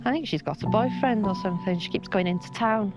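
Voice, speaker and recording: A woman's voice: mid-range voice, fast talker, close to an everyday voice. A middle-aged woman playing a resigned, wistful mother in understated, naturalistic film dialogue, with music behind her.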